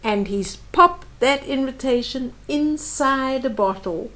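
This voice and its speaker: A woman reading a children's story aloud with animated, dramatic emphasis and explanatory asides. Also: high-pitched, slow.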